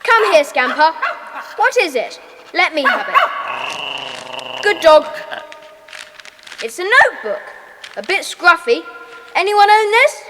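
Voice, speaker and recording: Casting: a decisive schoolboy club leader in a children's radio play, eager and bossy, very animated, reverberant recording. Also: female voice, extremely high pitch, slow pace, creaky, pauses often, background noise, full character voice. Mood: excited, commanding. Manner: decisive, commanding, schoolchild diction. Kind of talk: children's audio drama acting. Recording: reverberant, hall-like echo.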